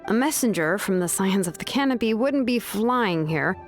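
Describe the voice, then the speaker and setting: A woman's voice: mid-range voice, animated, normal speed, faint music behind, close to an everyday voice. A woman voicing a laid-back, mildly stubborn young woman in a video game, casual and nonchalant. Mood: matter-of-fact.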